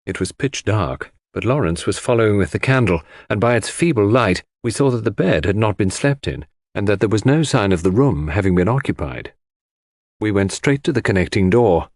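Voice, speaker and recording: A man's voice: lowish voice, a little mellow, no room sound, studio quality. A man reading scripted first-person literary narration as an audiobook narrator.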